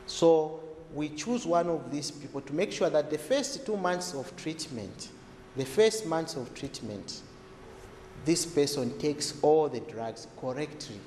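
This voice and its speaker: A man speaking as a health expert, explaining in a deliberate, emphatic way that repeats key words. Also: on the high side, a little mellow. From Zambia. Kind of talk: explanatory interview talk. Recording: echoey, reverberant room with background noise.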